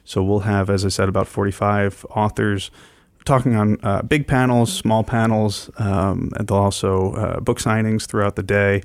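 Male radio co-host, lower register, close dry sound. Enthusiastic, informal explainer who pauses and repeats words. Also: steady tone, no echo. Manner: hesitant, frequently pausing and repeating words. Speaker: radio co-host and festival programmer. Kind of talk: informal radio program talk, explaining events.

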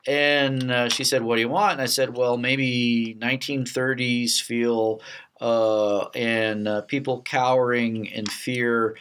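A man hosting a podcast in casual round-table chat, talking haltingly and repeating words as he goes. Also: medium pitch, level tone, fairly bright tone, slight background noise. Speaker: podcast host.

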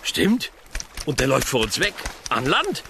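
A man's voice: medium pitch, huge pitch swings, a little slow, vocal fry, bright, forceful tone, a little hiss or hum, full character voice. A man playing a grumpy, sarcastic employee who is weary of his colleague, in a comedic radio play. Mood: wry, surprised. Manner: dry sarcasm, grumbling.

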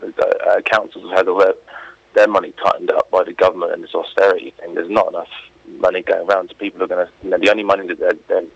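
A man with a very low, husky voice gives his views in a casual radio interview. He rambles informally and is opinionated. The sound is dull and lo-fi, like a phone link. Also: little pitch movement, fast. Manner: informal, opinionated, rambling with frequent "you know" and "like". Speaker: interview guest.

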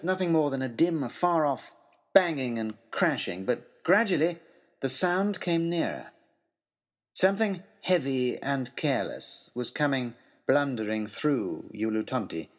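A man narrating an adventure story in the first person with dramatic, theatrical expression. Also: mid-range voice. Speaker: audiobook narrator.

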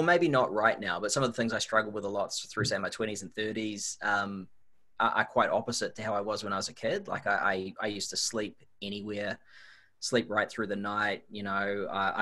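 A man's voice: fairly low pitch, fairly even intonation, moderate pace, sharp tone. A man with a New Zealand accent, a guest on a podcast, answering in an easygoing, reflective way. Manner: easygoing, reflective, some repeated words. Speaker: guest, nutrition researcher. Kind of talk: casual interview chat.

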